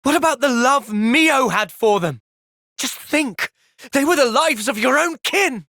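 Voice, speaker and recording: An earnest young soldier hero from a video game, with an English accent and a close, dry sound. He is gentle and thoughtful, speaking with measured, soft seriousness. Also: extremely high pitch, lively intonation, dry recording, exaggerated voice. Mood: intense, earnest. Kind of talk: video game cutscene voice acting.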